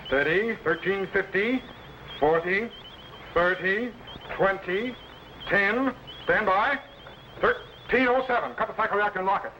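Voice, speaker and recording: An exacting captain of a time machine in a vintage sci-fi serial. His fairly high voice gives clipped, authoritative orders over a clearly noisy old recording. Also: a little slow, long pauses, pushed and bright. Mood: brisk, commanding.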